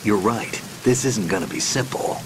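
An actor playing a gruff, hardened male covert operative, terse and wary, in a dramatic video game. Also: fairly low pitch, moderate pace, background noise, lightly acted. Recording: music and rain behind.

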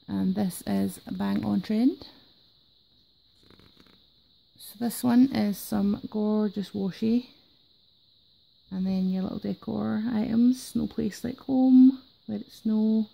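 Sick woman vlogger, gravelly and mellow, chatty unboxing at a table. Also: medium pitch, poor audio. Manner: chatty, enthusiastic about items. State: sick, voice affected. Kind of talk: casual unboxing chat. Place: indoors at a table. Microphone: camera filming her hands from above.

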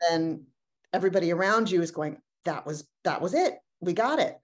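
A woman's voice: lowish voice, expressive, moderate pace. A woman speaking as an actor in a casual panel discussion on a video call, conversational and emphatic. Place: indoors at home on a video call. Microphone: video call microphone.

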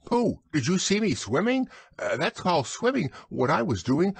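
High, squeaky little boy kangaroo. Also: normal speed, creaky, exaggerated voice. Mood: proud, excited. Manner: squeaky, excited. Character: tiny, excitable, proud young boy kangaroo.

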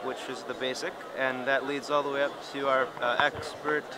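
A man's voice: medium pitch, level tone, normal speed, rough recording. A man exhibitor describing his company's models and prices in plain sales talk with fillers. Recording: loud crowd noise behind.

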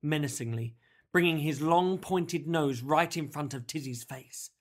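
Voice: mid-range voice, a little slow, natural voice. A narrator voicing a frightened, protective young girl who pleads anxiously in a children's story. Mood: panicked.

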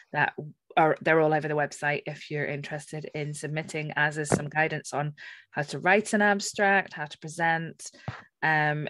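A woman's voice: sits low, fairly bright tone, no room sound. A middle-aged woman, hosting a casual panel discussion over a video call. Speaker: podcast host.

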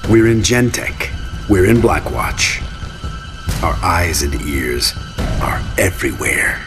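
Low, commanding mastermind villain. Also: male voice, sing-song, over music, full character voice. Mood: calm, commanding. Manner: measured, authoritative, menacing. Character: commanding male mastermind villain, cold and calculating. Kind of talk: video game cutscene acting.

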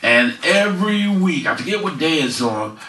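A man's voice: a little high, very animated, moderate pace, bright, forceful tone. A middle-aged man from the Philadelphia area hosting a casual community commentary show from his couch, chatting folksily as he promotes local events. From Philadelphia area, USA. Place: living room on a couch. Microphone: headset mic, far camera. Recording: roomy, slightly distant sound.

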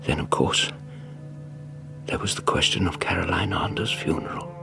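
Haunted scholar in a radio ghost drama: low, donnish, widely varied intonation. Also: male voice, huge pitch swings, normal speed, gravelly, over music, heavily acted. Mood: grave, haunted. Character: distinguished, anxious male English academic and ghost-story narrator. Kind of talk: radio drama acting and narration.

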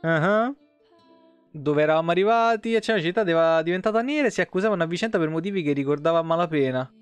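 A man reads game lore aloud on a live stream. He slips between narration and casual asides in an informal way. Soft plucked guitar music plays behind him. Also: a little high, quick pace, smooth, lo-fi sound. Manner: informal, breaks off to comment. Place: indoor home streaming setup.

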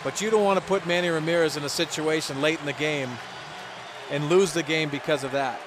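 An American man with a fairly high voice works as a radio baseball analyst. He explains plays conversationally, rephrasing as he goes, with a noisy stadium crowd behind him. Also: a little fast. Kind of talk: live sports analysis. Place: stadium broadcast booth. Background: ballpark crowd and stadium ambience.

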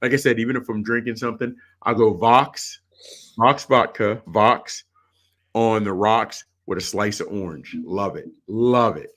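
A man's voice: on the low side, a little slow, fairly bright tone. Casual middle-aged man chatting informally. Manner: informal, repeats phrases for emphasis. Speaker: sports podcast panelist. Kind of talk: casual chat. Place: indoor room on a video call.

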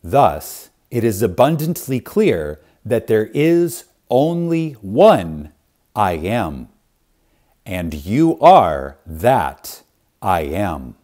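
Slow, expressive man reading reverently. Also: lowish voice. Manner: reverent, deliberate, emphatic with dramatic pauses. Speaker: audiobook narrator. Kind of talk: scripted audiobook reading of a spiritual text.

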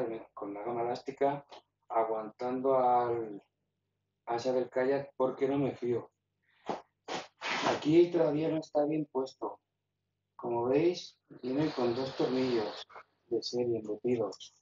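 A man's voice: medium pitch, recorded from a distance. Guy talking thru a diy setup, stop-start, roomy garage echo, a bit off mic. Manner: plain, stop-start explaining as he handles gear. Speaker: kayak fishing hobbyist showing his gear. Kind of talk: casual how-to explanation while working. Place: garage with roll-up door. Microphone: phone camera held in hand. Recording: echoey garage, phone mic, dull and noisy.

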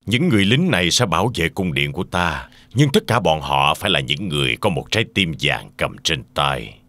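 A man's voice: rumbling low, sing-song, fairly quick, bright, forceful tone, no echo, exaggerated voice. A man playing a stern, demanding old king in a children's cartoon dub, speaking in a formal, commanding manner.

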